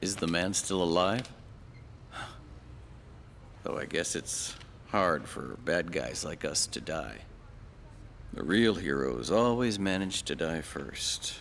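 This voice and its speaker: A low-voiced, gravelly man, a roguish mercenary flyer. He talks slowly with big swings of pitch, sardonic and brooding, as if interviewed in a war game. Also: lively intonation, fairly bright tone, a little hiss or hum, heavily acted. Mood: wry, brooding. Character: wry, world-weary roguish mercenary pilot. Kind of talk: dramatic interview monologue.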